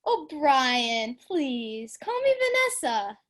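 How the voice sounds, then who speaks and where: A woman's voice: on the high side, very animated, slow pace, voice pushed down. A young woman playing a middle-aged mother who stammers and sobs in an amateur audio drama. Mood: sweet. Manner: stammering, sobbing, doting then furious.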